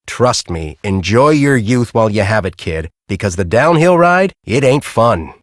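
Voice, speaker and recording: A man's voice: fairly low pitch, big pitch swings, a little slow, no echo, clean studio sound. An actor playing a bitter, arrogant middle-aged male scientist in a video game, with a sardonic, condescending, theatrical way of talking. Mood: weary, cynical. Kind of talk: video game character acting.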